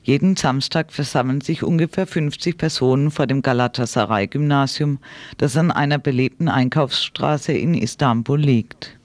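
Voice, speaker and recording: A man's voice: mid-range voice, darkish tone, dry recording. Sober man reading news, dry close sound. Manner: sober, formal. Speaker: radio reporter. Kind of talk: scripted news narration.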